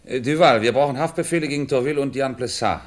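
A man's voice: mid-range voice, quick pace, close to an everyday voice. A man playing a determined police investigator in a radio crime play, brusque, curt and insistent.

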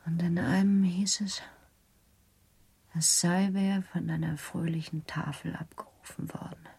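An actress playing a gravely ill young woman in a radio drama, breathy and weak, serene and resigned to dying. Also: fairly low pitch, fairly even intonation, a little slow, a little mellow, heavily acted. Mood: wistful, serene. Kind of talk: German radio drama acting.

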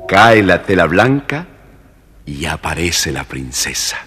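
A man's voice: low-pitched, very animated, slow, a bit bright, soft background music. A man narrating a scripted children's fairy tale as the storyteller. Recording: reverberant, noisy old story record.

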